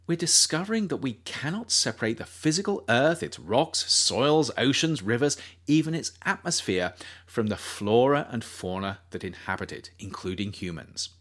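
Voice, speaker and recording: A man reading his own nonfiction book aloud as audiobook narration, measured and thoughtful, lifting his voice on key phrases. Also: fairly low pitch, fairly bright tone.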